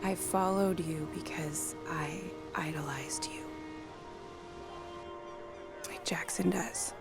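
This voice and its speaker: Hurt younger sister, music behind. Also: female voice, deep voice, steady tone, slow pace, gravelly, heavily acted. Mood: wistful, wounded. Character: hurt, protective younger sister and mother, emotionally raw. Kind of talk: naturalistic video game drama.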